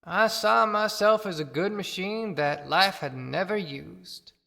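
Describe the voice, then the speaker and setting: A woman performs a dead farmer in an audio drama, rueful about a wasted life. The delivery is slow and reflective. A long reverb surrounds the voice. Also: fairly low pitch, gravelly, pushed and bright, heavily acted. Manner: deliberate, reflective. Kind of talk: dramatic monologue in an audio play. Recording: long echoing hall reverb.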